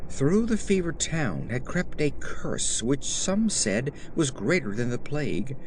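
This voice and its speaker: A man's voice: lowish voice, slight background noise. A man narrating a horror story as a dramatic, scripted audiobook reading.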